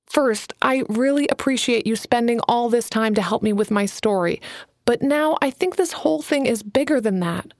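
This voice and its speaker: A woman's voice: on the high side, moderate pace, no echo, clean studio sound, close to an everyday voice. A woman reading an audiobook, voicing an eager, earnest young woman writer who pleads and bristles. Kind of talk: audiobook character voice.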